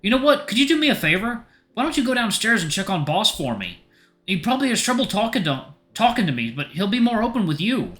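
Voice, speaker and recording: A man's voice: high-pitched, sing-song, fast talker, fairly bright tone, pitched above the performer's own voice. A man voicing a playful, caring young man who talks in a light, teasing, earnest way. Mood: playful, bossy.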